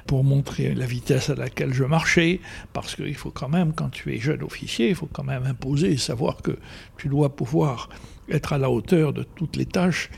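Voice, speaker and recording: An older man, a photographer telling stories slowly and repeating phrases for emphasis. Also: mid-range voice, gravelly, darkish tone, husky. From Algeria. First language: Arabic. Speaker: photographer guest. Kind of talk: reflective storytelling in conversation.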